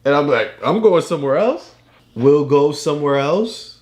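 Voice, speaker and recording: A man's voice: on the high side, animated, moderate pace. A man patiently explaining things to a child as a father during a read-aloud, teaching with questions and examples. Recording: roomy, echoey home recording.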